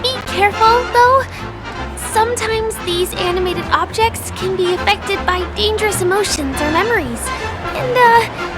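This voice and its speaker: A woman's voice: extremely high pitch, normal speed, poor audio. A woman voicing a cheerful, eager young tour guide in a video game, chatty and friendly as she shows someone around. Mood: cautioning, playful. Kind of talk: video game character voice acting. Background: game music.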